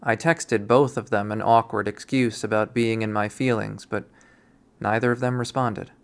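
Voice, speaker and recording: A streamer narrating a visual novel readthrough in an expressive, performative way. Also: medium pitch, moderate pace, gravelly. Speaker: video game readthrough streamer.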